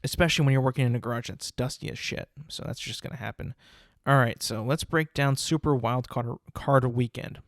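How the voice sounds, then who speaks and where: A man's voice: medium pitch, a little fast, hardly any pauses, no room sound, studio quality. Congested young man rambling on a podcast. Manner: rambling, many fillers and restarts. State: congested, sniffling. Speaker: podcast host. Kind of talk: casual solo podcast talk.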